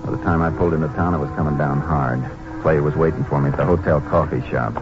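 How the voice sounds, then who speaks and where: Very deep-voiced, laconic Texas Ranger. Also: male voice, steady tone, fast. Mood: measured, matter-of-fact. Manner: terse, understated, matter-of-fact. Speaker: lead actor and narrator of a western crime radio drama. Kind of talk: 1950s radio drama acting and narration. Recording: muffled old radio broadcast with music.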